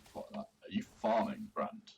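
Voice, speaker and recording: A man from Manchester, hoarse from a sore throat, chatting casually and swearing as he streams his gameplay. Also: sits low, animated, moderate pace, distant mic, a little hiss or hum. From Manchester, England. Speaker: game streamer. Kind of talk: casual live gameplay commentary.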